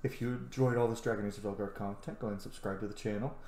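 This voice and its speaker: A man's voice: on the low side, steady tone, rapid-fire, a little mellow. A man hosting a let's play with casual, chatty commentary, thinking and reacting aloud. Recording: roomy echo, game music behind.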